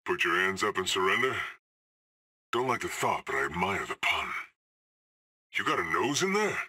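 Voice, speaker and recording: A man's voice: low-pitched, big pitch swings, moderate pace, bright, forceful tone, husky, full character voice. An actor playing a cocky, cool male hired assassin in a video game, trading dry, sardonic one-liners.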